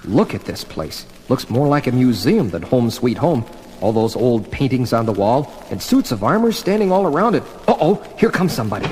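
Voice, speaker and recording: Guy doing a cheeky young hero, breezy quips, really bouncy pitch, big reverb, superhero audio drama. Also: normal speed, lightly acted. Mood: wry, cheeky. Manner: breezy, quippy, animated. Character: wisecracking young male student superhero, cheeky and curious. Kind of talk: 1970s superhero record audio drama acting. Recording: reverberant with faint background hiss.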